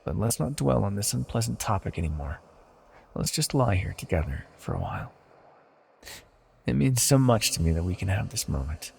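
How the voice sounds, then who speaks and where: A man playing an ancient, possessive godlike villain in an intimate ASMR audio roleplay, patient and condescending, with menacing tenderness and a gravelly, husky voice. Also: lowish voice, big pitch swings, moderate pace, darkish tone, slight background noise, full character voice. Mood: tender, possessive. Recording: long reverberant echo.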